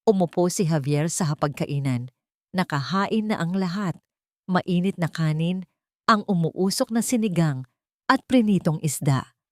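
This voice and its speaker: A woman's voice: fairly low pitch, a little mellow, no room sound, studio quality. A woman giving a dramatic scripted narration of a love story.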